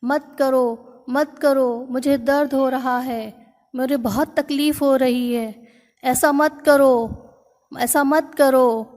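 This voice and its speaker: A woman voicing a big, boastful male elephant who cries loudly and begs. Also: sits high, fairly even intonation, in a large hall, lightly acted. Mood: pleading. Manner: loud, pleading cries.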